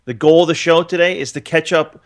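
A man's voice: on the high side, fairly even intonation, fairly quick. A man co-hosting a tech podcast, chatting casually in an upbeat, joking, rambling way. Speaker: podcast co-host.

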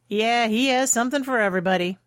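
A man's voice: very high-pitched, fast, a bit bright, no room sound, clean studio sound, pitched above the performer's own voice. A man voicing a brash, confident young woman who teases and pushes ahead without hesitation. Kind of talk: audiobook character voice.

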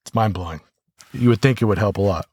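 An American man hosting a podcast solo, talking reflectively and haltingly as he thinks aloud. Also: fairly low pitch, a little mellow, slightly rough, no room sound. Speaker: podcast host.

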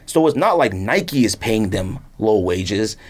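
A man's voice: on the low side, very animated, a little slow. A middle-aged man hosting a podcast, talking casually and emphatically, rambling and repeating himself to stress his opinions. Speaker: sneaker podcast host. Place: podcast studio.

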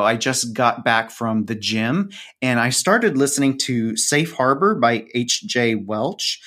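A man speaking as a podcast guest in a conversational interview, enthusiastic, with measured phrasing and elaborate word choices. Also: medium pitch, fairly bright tone.

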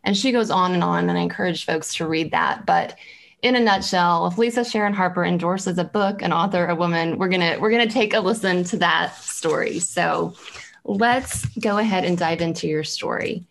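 A woman's voice: fairly low pitch, normal speed, gravelly. A woman hosting an interview podcast, speaking in an earnest, encouraging way as she introduces her guest and asks questions. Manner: earnest, encouraging, reads aloud with care. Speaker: podcast host.

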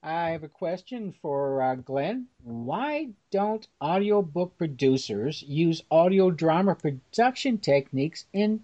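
A man's voice: fairly high pitch, big pitch swings, slow, hardly any pauses, mellow. A man hosting a radio-style panel show, addressing the audience and putting questions to guests. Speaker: show host. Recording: slightly dull audio, guitar music.

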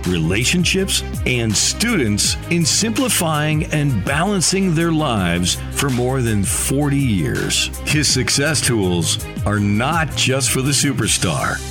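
A man announcer reading a scripted promotional intro with an emphatic, animated delivery. His pitch swings widely, and clear music plays underneath him throughout. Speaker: show announcer.

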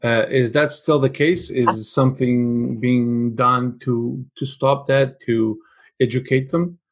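A man's voice: mid-range voice, steady tone, slow pace. Man from Portugal asking questions. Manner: curious, asks follow-up questions. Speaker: interviewer. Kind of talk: interview questions.

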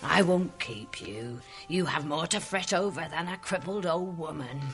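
A woman's voice: sits low, expressive, moderate pace, fairly bright tone, faint music behind. An actress playing a crippled old woman in a radio drama, dry, teasing and devout. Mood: wry, tender. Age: older.

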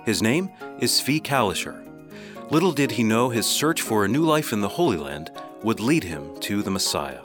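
Lively low male narrator over music. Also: normal speed. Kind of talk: scripted radio narration.

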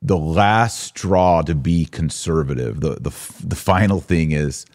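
Low-voiced American man, casual podcast host. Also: a little slow, darkish tone, no room sound, clean studio sound. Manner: informal slangy talk. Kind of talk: casual live commentary, reading and answering viewer comments.

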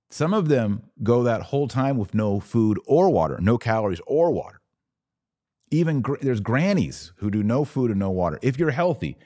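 A man's voice: lowish voice, a little mellow, no room sound, studio quality. A man hosting a live religious commentary podcast, answering comments in an exhortative, emphatic way and repeating key phrases.

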